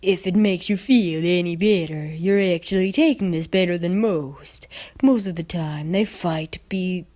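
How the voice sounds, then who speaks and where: A formal male pony official, acted by a woman reader. He talks in a measured, matter-of-fact manner, as a cartoon storybook character. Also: fairly low pitch, warm tone, close to an everyday voice. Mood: reassuring.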